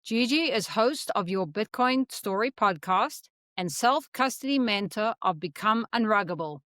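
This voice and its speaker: A woman's voice: medium pitch, animated, unhurried, a bit bright, dry recording, studio quality. A woman hosting a podcast interview, asking friendly, brief questions. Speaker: podcast host.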